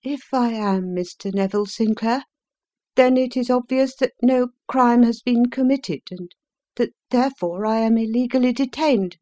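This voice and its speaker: A woman reading the part of a shamed gentleman prisoner, speaking with anguished, passionate pleading. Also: on the high side, normal speed, no room sound, pitched above the performer's own voice, close to an everyday voice. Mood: defiant. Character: shamed middle-aged gentleman, desperate and pleading. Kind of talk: audiobook character reading.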